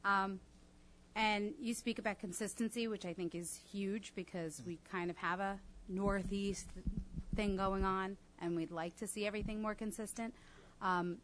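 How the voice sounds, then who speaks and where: A woman's voice: medium pitch, steady tone, moderate pace, fairly bright tone, slight background noise, rough recording. A woman on the board asking a follow-up question at a public meeting, earnest, with fillers.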